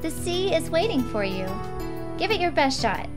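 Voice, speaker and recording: A woman's voice: very high voice, sing-song, normal speed, over loud music, pitched above the performer's own voice. A woman voicing a cheerful, enthusiastic young girl in a visual novel, bubbly and encouraging, speaking of herself in the third person. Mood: eager, encouraging.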